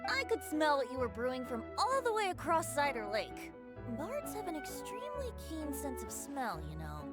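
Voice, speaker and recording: A woman's voice: high-pitched, huge pitch swings, normal speed, loud music behind the voice. A woman voicing a playful, sly, youthful male bard in a video game, with a breezy, teasing manner.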